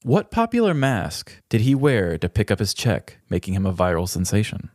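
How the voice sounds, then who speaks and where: A man's voice: on the low side, wildly swooping pitch, moderate pace, a little mellow, dry recording, studio quality. A man co-hosting a podcast in a casual, chatty style. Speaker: podcast co-host. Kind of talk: casual chat with trivia.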